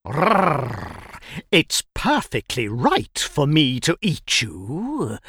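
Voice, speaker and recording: A woman voicing a large, sly male tiger in a storybook, with drawn-out wheedling and growls. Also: extremely low pitch, wildly swooping pitch, a bit bright, husky, no echo, clean studio sound, full character voice. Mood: growling, menacing.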